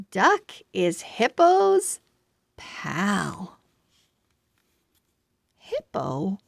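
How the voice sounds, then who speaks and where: A woman hosting a children's read-along, reading deliberately and expressively, word by word, for young children. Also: on the high side, gravelly, fairly bright tone, dry recording, studio quality. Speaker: children's reading channel host.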